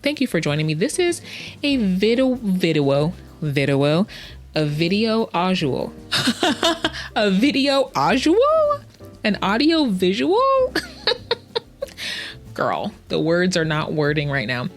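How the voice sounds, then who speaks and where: A woman's voice: on the low side, big pitch swings, slow pace, long pauses, faint music behind. A woman hosting a casual solo podcast, chatting in a playful, slangy way, often interrupting herself and repeating words for emphasis. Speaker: podcast host, writing coach. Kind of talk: casual, chatty solo podcast answering listener-style questions.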